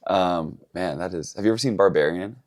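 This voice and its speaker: A man's voice: low voice, fairly quick, darkish tone. A man coaching at a gym, casually interviewing a member with friendly, teasing questions.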